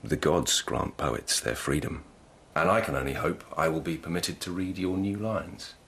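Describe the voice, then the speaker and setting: An actor playing a polite, persistent police lieutenant of humble birth, measured and deferential yet probing, in a radio drama. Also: male voice, extremely low pitch, a bit bright, husky, exaggerated voice.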